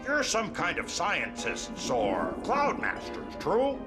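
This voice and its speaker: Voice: mid-range voice, huge pitch swings, takes it slowly. A territorial little cloud from a children's cartoon. The voice is stern and assertive, rising and falling dramatically over music. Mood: inquisitive. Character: territorial, suspicious little rain cloud Nimbus. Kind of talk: cartoon voice acting.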